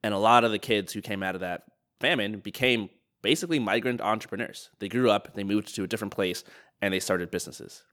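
Fast-talking deep-voiced male host. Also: a bit bright. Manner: curious, chatty, filler "like". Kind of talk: casual interview talk.

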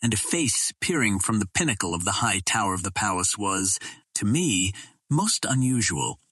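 A man narrates an adventure story in a low, rough-edged husky voice. His delivery is measured and dramatic, like an audiobook, and the recording is close and dry. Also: very animated, a bit bright, no echo. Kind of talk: scripted narration of an adventure novel.

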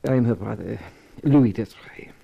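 An actor playing an anxious, courteous Frenchman, speaking gravely and hesitantly in a 1950s radio drama. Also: lowish voice, normal speed, muffled recording, full character voice. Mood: grave, pleading. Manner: grave, hesitant, polite, earnest. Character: anxious, courteous Frenchman seeking his lost sister.

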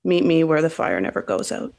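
A woman's voice: deep voice, big pitch swings, fairly quick, creaky, a little mellow. A woman, a writer speaking thoughtfully as a guest. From Canada. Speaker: poet and novelist guest. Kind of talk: thoughtful interview talk and poetry reading.

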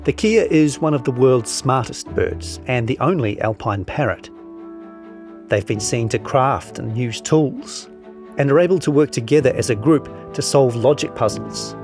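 A New man narrates a long-form article in a mid-pitched voice. His delivery is scripted reading, with music clearly playing behind him. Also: expressive, a little slow, darkish tone.

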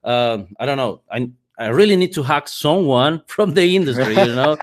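A man's voice: mid-range voice, expressive, moderate pace. A man in his forties, a native Spanish speaker, speaking English as a guest in a remote interview, often repeating words as he searches for them. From Spanish-speaking country. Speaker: guest, head of communications. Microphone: remote call microphone.

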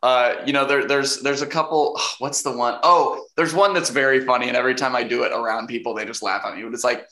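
A young man, an actor, answering interview questions casually, hesitant and full of restarts, with a fairly deep voice. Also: fairly even intonation, fast, hardly any pauses, pushed and bright. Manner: hesitant, many restarts and fillers, laughs easily. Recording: roomy echo.

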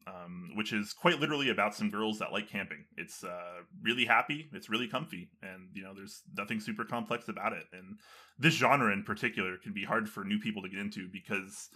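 A man's voice: on the low side, brisk, sharp tone. A man in casual conversation, talking enthusiastically and using many fillers.

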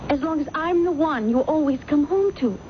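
Actress as lovestruck young woman, very high voice, emotional, melodramatic radio style, noisy old recording. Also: moderate pace, lightly acted. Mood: infatuated. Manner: intense, emotional, pleading. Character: lovestruck, possessive young woman, girlfriend of murder suspect. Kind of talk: melodramatic radio mystery acting. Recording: muffled, noisy vintage radio broadcast recording.

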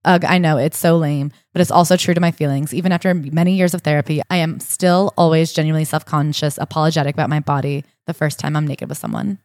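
A woman's voice: low-pitched, steady tone, fast talker, hardly any pauses, darkish tone, no echo, clean studio sound. A woman co-hosting a casual book-discussion podcast, earnestly reading passages aloud and talking them over. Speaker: podcast co-host.

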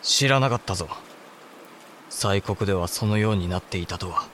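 A man's voice: low-pitched, moderate pace, fairly bright tone, a little hiss or hum. A man playing a thoughtful samurai clan head in a Japanese historical audio drama, formal and composed. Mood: surprised.

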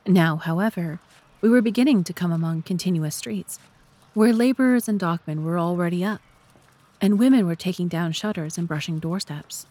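A woman's voice: on the low side, moderate pace, mellow. A woman narrating a scripted audiobook story.

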